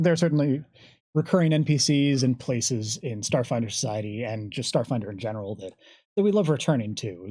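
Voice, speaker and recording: A man with a medium-pitched voice speaks as a developer on a livestream panel. He is articulate and measured, with pauses in mid-thought. The recording is dry and close. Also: warm tone, dry recording. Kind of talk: unscripted panel discussion.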